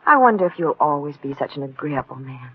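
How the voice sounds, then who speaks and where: An actress playing a wealthy, affectionate wife with hidden unease, in a theatrical, genteel 1940s radio style. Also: female voice, deep voice, very animated, quick pace, very noisy. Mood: affectionate, evasive. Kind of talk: 1940s radio drama acting. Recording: thin, phone-like vintage radio recording.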